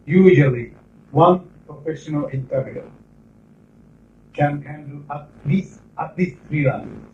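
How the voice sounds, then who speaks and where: A middle-aged man with a Japanese accent, lecturing a class in English about interpreting in a measured, explanatory way with pauses between phrases. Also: fairly high pitch, a little hiss or hum. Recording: roomy, distant, dull 1990s classroom tape.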